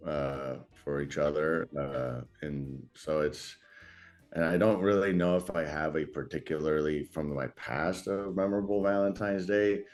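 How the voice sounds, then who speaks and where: A man's voice: a bass voice, steady tone, unhurried, a little mellow, soft background music. A man in his thirties from South Africa, a native Afrikaans speaker with an American accent, chatting informally and hesitantly as an interview guest. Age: 30s. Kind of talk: casual interview chat.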